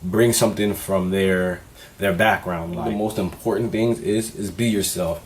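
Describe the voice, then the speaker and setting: Low-voiced man giving casual advice. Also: normal speed, slight background noise. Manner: relaxed, candid, conversational with frequent fillers. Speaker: vlogger.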